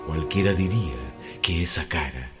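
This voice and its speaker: An actor as a grave, low-voiced eerie narrator. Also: male voice, natural voice. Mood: ominous. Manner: measured, dramatic phrasing with pauses. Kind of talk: scripted radio drama narration. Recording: prominent background music under voice.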